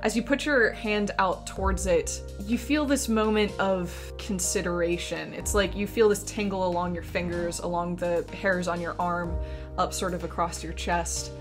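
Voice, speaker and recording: A woman with a fairly low voice acts as game master for a tabletop fantasy game. She describes scenes evocatively, in measured short phrases, with faint music underneath. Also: fairly even intonation. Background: background music.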